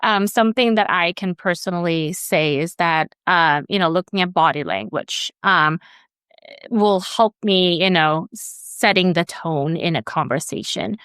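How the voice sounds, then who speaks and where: A woman's voice: lowish voice, unhurried, a bit bright, clear, resonant tone, no echo, studio quality. A woman from Sweden who grew up there and now works in HR, answering interview questions in a hesitant way with many fillers and restarts. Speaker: HR guest.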